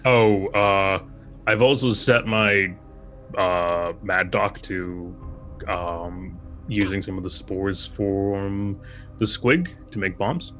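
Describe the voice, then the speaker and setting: A man's voice: low-pitched, steady tone, slow pace, a bit bright, faint music behind. A man playing a tabletop roleplaying game, casually describing what his character does.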